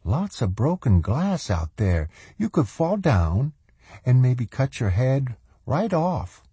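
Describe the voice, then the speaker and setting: A man's voice: mid-range voice, lively intonation, moderate pace, warm tone, slightly rough, dry recording, voice pushed up. A man voicing a cocky, wheedling soldier and mechanic with an insolent manner and a streak of menace, in an audiobook. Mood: sly menace. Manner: insolent, wheedling, drawling tag questions. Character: cocky noseless wasteland soldier, eager male mechanic with menace.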